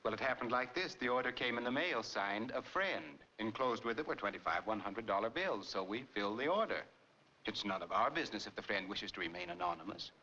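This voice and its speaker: A polite middle-aged salesman in a 1940s film. His voice is low and bright, over a faintly noisy old soundtrack. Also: expressive, fairly quick, dull audio. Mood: polite, businesslike.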